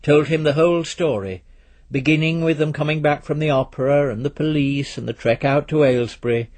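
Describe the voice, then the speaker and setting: A man's voice: a little high, a little fast, darkish tone. A man reading a literary story aloud as scripted narration.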